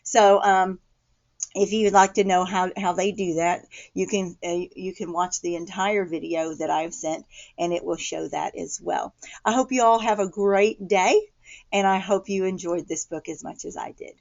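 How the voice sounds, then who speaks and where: A woman's voice: on the low side, steady tone, normal speed, vocal fry, a little mellow, dull audio. A woman casually explaining things to viewers in an informal video talk.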